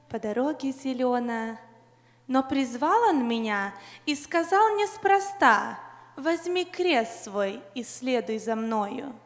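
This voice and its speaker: Young woman, high voice, slow earnest verse, church reverb. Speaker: poetry reciter. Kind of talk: recited devotional poem. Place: large church hall. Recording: long church hall reverb.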